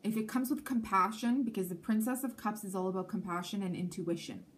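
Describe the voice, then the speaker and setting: A woman from Canada reads tarot cards straight to her viewers, talking in a loose, chatty way and often checking that they follow. Her first language is Armenian. Her voice sits in an ordinary middle pitch. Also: brisk, a little hiss or hum. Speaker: tarot reader. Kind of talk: intuitive tarot reading to camera.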